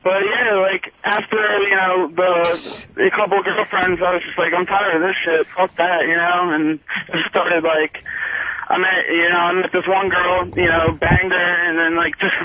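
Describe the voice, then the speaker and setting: A man's voice: high voice, little pitch movement, normal speed. A young man calling in, telling a casual story in a hesitant, slangy way. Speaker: caller. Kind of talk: casual anecdote. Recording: tinny phone line, faint noise.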